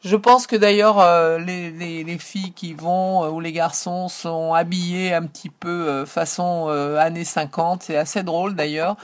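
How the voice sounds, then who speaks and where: A woman from France hosting a local radio music show, talking casually and pausing often with fillers and restarts. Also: on the low side, fairly even intonation. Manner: hesitant, many fillers and restarts. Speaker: radio host.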